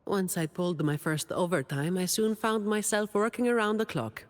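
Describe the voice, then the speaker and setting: A woman playing a weary, dutiful young office employee in a video game, delivering scripted monologue in a dry, deadpan way. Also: mid-range voice, moderate pace, in a large hall, close to an everyday voice. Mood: weary, sincere.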